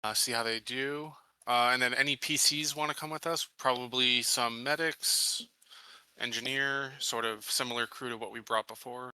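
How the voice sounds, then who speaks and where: A man's voice: mid-range voice, steady tone, moderate pace, bright, forceful tone, smooth, no room sound, rough recording. A man playing a tabletop game, thinking aloud hesitantly with many "like" and "um". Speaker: player.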